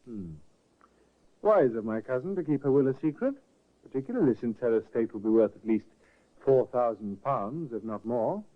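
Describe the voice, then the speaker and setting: An actor in a 1950s radio drama playing a suspicious cousin and a meek servant, in the old radio acting style. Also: male voice, medium pitch, lively intonation, moderate pace, slight background noise, lightly acted. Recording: tinny old radio broadcast.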